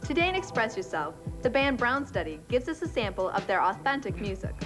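A woman's voice: a little high, very animated, brisk, over music. A woman narrating and hosting a television show in a scripted style.

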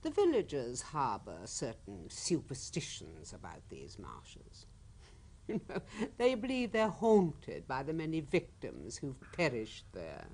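A woman's voice: very deep voice, huge pitch swings, takes it slowly, darkish tone, slightly rough, exaggerated voice. An actress playing a haughty, secretive grandmother with an English accent, formal and commanding, in a radio drama. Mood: haughty, evasive. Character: imperious, secretive old English grandmother, lady of the manor. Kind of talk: 1970s radio drama acting.

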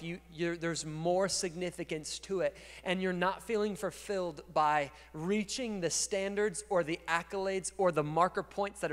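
High-voiced man preaching in a big hall. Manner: energetic preaching, gesturing and moving on stage, swings from quiet to loud. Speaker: pastor. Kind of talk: sermon. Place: large dark church stage. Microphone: handheld mic. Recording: long reverb of a large church hall.

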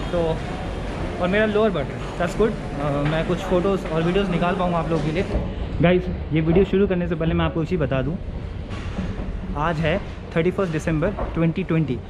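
Young male travel vlogger, slightly high voice, casual and chatty, handheld camera on a busy railway platform with steady background noise. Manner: chatty, mixes Hindi with English phrases. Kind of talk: casual vlog narration to camera. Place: railway platform and train coach, in and out of doors. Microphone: handheld action camera at arm's length. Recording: noisy station ambience.

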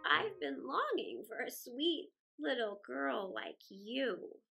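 A woman's voice: high-pitched, big pitch swings, takes it slowly, vocal fry, pushed and bright, husky, soft background music, heavily acted. A woman voicing a kindly, coaxing very old woman in a children's storybook, doting and drawn out in her speech.

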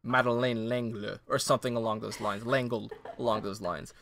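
A man's voice: mid-range voice, fairly quick, gravelly, a little hiss or hum. A Canadian man co-hosting a book podcast, chatting casually and joking at his own expense, a little tipsy. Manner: jokey, self-deprecating, lively bursts of laughter. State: slightly tipsy. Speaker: podcast co-host. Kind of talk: casual chat.

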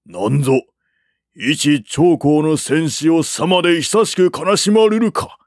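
A man's voice: on the high side, takes it slowly, no echo, clean studio sound, voice pushed up. A man voicing an earnest court minister who delivers lofty speeches in a grand, emphatic audiobook style. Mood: impassioned, solemn.

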